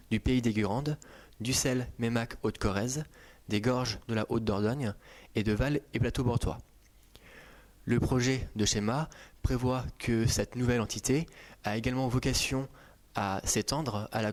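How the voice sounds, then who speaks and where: A man delivering a formal procedural briefing, setting out points methodically in full administrative sentences. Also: medium pitch. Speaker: government official.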